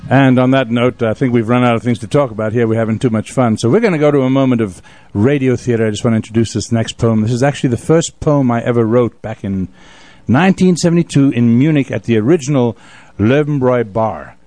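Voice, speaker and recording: A man's voice: medium pitch, quick pace, no echo. An older man who hosts a radio show and performs poetry, talking and reciting in a literary storyteller's style. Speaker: radio host and poet. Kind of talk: casual introduction and dramatic poetry recital. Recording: steady hum and background noise.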